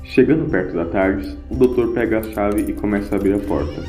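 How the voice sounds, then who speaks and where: Low-voiced male story narrator, echoey. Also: brisk, warm tone. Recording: boxy, echoey lo-fi with music. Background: music.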